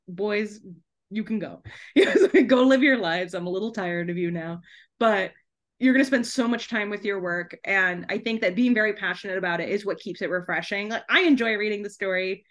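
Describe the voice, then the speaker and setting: A woman's voice: mid-range voice, brisk, a bit bright. A woman guest talking casually in a podcast interview, often giggling as she speaks. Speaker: guest author and TV trailer writer.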